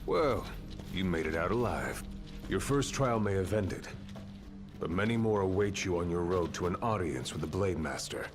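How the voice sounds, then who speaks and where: Low-voiced, seasoned older mentor. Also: male voice, lively intonation. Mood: wry, measured. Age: middle-aged or older. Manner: measured, matter-of-fact, dry. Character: seasoned older military mentor, wry and stoic. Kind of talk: scripted video game acting.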